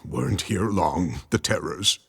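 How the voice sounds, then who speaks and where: Spooked mournful peasant, rustic british accent, really hoarse creaky voice, slow talker, game character. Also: male voice, low-pitched, lively intonation, no room sound, clean studio sound, full character voice. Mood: haunted dread. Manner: slow, haunted, rustic storytelling. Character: haunted, frightened peasant villager, rustic and mournful. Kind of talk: video game voice acting.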